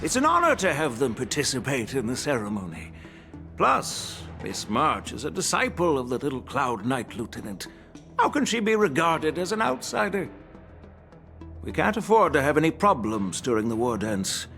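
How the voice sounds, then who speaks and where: An actor playing a measured, shrewd old grandfather who is a general in a fantasy video game, speaking formally and with authority. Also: medium pitch, very animated, creaky, bright, forceful tone, faint music behind, full character voice. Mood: kindly persuasive. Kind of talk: dramatic video game voice acting.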